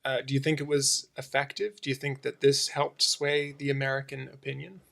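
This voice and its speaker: A man's voice: mid-range voice, completely flat delivery, a little fast, vocal fry, fairly bright tone. A man co-hosting a casual film discussion, putting questions and adding his own comments. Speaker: podcast co-host asking questions.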